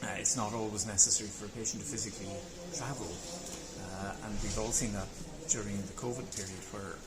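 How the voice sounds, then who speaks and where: A man, a consultant radiologist, explaining things in a measured, methodical way in a conference interview, sometimes restarting a phrase. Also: on the low side, steady tone, over a noisy crowd. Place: busy conference venue. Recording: echoey venue with loud ambient noise.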